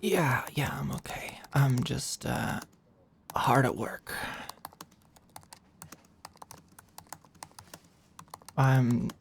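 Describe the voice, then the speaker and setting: A performer of unclear sex playing a flirty, overworked office manager in an intimate ASMR roleplay, with a halting, teasing murmur. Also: mid-range voice, vocal fry, a little hiss or hum. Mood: tired, stressed.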